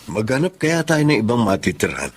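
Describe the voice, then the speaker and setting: An actor playing a wary, disapproving husband in a Filipino radio drama, with faint ambience. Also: male voice, medium pitch, very animated, fairly quick, husky. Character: Victor, wary disapproving husband next door.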